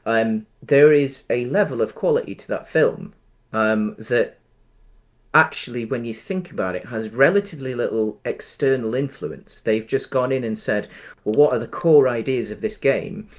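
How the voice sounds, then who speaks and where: A man co-hosting a casual film discussion, talking in a hesitant, rambling way with halting phrasing. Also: mid-range voice. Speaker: podcast co-host.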